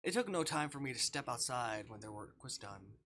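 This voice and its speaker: A man's voice: medium pitch, lively intonation, fairly quick, vocal fry, fairly bright tone. A man live-streaming a game, reading a visual novel aloud dramatically with playful asides.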